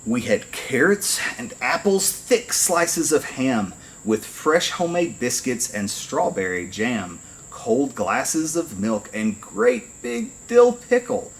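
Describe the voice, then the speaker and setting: Animated male storyteller, unhurried delivery. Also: medium pitch, a little hiss or hum, rough recording. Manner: animated storytelling, deliberate phrasing. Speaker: firefighter reading to children. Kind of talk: expressive rhyming picture-book read-aloud.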